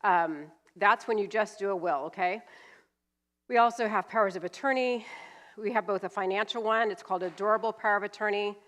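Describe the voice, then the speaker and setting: A woman's voice: mid-range voice, fairly quick, a bit bright. A woman in her fifties from California, an attorney giving a seminar talk with a cold, explaining plainly with some fillers. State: has a cold, sniffly. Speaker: estate planning attorney, guest speaker. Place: church hall. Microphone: handheld mic.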